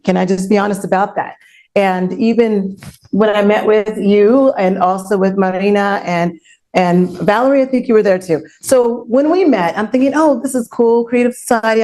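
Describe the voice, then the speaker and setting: A woman's voice: mid-range voice, a little mellow. An outspoken woman hosting an unscripted panel discussion, speaking emphatically and repeating her key phrases. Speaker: outspoken host of an online forum panel. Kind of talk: unscripted panel talk, personal testimony.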